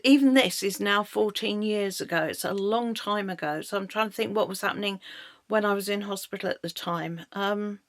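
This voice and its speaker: Woman, British accent, middle-aged, slightly croaky, hesitant personal storytelling, mid pitch. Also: dry recording. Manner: halting, searching for words. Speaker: narrator of her own life story.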